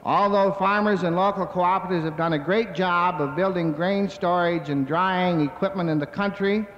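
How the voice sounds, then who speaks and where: A man with a high-pitched voice delivers a prepared speech in a formal, measured manner. His words ring through a big hall with long reverb, captured on a dull 1970s recording. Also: slight background noise. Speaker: grain cooperative executive giving a speech. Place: large convention hall.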